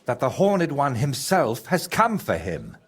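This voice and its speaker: An actor playing a troubled, scheming male painter in a video game, excitable and theatrical, with a husky voice. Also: mid-range voice, measured pace, heavily acted. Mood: gleeful scheming. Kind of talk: video game character dialogue.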